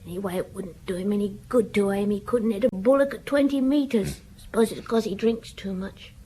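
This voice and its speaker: Woman as an anxious, husky-voiced village child. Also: mid-range voice, a little fast, dark tone, lightly acted. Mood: reluctant, confiding. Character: anxious village child, troubled by a drunken father. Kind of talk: radio drama acting.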